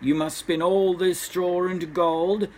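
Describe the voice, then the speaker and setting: A man's voice: sits high, level tone, darkish tone, a little hiss or hum, voice pushed up. Man playing a stern, imperious fairy-tale king. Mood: stern, threatening. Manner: deliberate, imperious. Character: greedy commanding king, stern and threatening.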